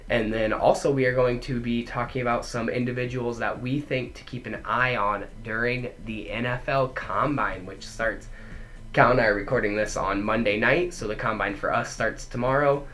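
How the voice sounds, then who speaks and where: A man's voice: mid-range voice, steady tone, normal speed, a bit bright, slight background noise. A young man co-hosting a casual sports podcast, his voice congested from a head cold, chatting his way through a news rundown. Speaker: podcast co-host.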